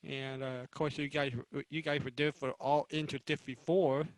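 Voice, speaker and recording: A man moderating a casual panel chat, halting and repeating words as he talks. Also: mid-range voice, level tone, no echo, rough recording.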